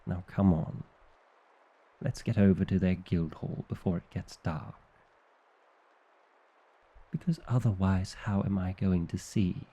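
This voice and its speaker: A man acts out an exasperated vampire librarian for an ASMR fantasy roleplay. The voice sits on the low side and moves at a very unhurried pace. He sounds officious and easily affronted, with a dry comic edge. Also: fairly even intonation, warm tone, slightly rough, full character voice. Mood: weary, grudging.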